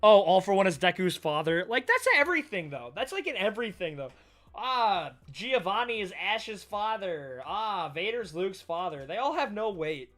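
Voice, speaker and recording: A man live-streaming a casual, excitable reaction read-through, swearing freely as he chats with viewers. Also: sits high, normal speed, soft background music. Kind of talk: casual livestream reaction chat while reading manga.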